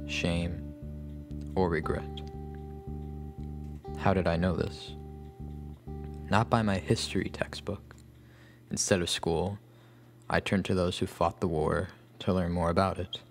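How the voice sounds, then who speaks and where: An actor playing a solemn, bookish loner recalling his boyhood, narrating a scripted horror story with an American accent and grave, measured phrasing. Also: low-pitched, gravelly, heavily acted. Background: guitar music.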